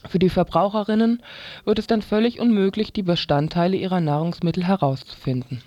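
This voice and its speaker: Male newsreader, notably high voice, reading from a script in a dry studio sound. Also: fairly even intonation, a little fast, a little mellow, no room sound, lo-fi sound. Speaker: news reader.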